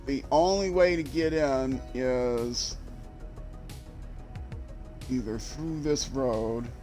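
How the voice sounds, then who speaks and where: A man's voice: mid-range voice, drawn-out words, a little mellow, faint music behind. An older man from Minnesota with a Minnesota accent, rambling and self-deprecating as he streams a driving game. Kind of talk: casual gameplay commentary.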